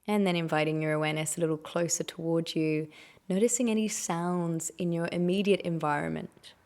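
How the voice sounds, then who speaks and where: A woman with a lowish voice and a distinct creak guides a meditation. She speaks in gentle, unhurried phrases, offering instructions as soft invitations and questions. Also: fairly even intonation.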